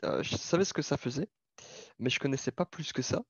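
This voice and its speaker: A man's voice: medium pitch, lively intonation, brisk, husky, no room sound. A man with a light Belgian French accent co-hosting a casual, informal stock talk with some hesitation. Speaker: podcast co-host discussing stocks. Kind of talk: casual chat. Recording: dull, band-limited audio.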